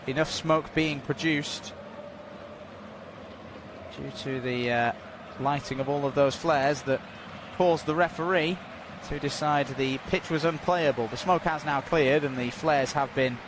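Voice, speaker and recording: A man giving unofficial live commentary on a football match, calmly filling a stoppage with updates and statistics. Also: fairly high pitch, background noise. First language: not Dutch. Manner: measured, informative, filling time with stats and updates.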